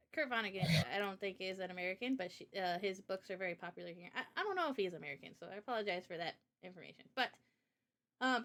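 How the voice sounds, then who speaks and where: A woman streamer hosting a casual live chat, talking in an animated, opinionated way with rambling asides. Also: sits high. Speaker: streamer and host.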